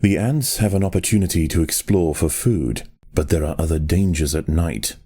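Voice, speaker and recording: A man reading scripted nature documentary narration in a measured, solemn and dramatic manner. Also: low voice, warm tone. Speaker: documentary-style game narrator.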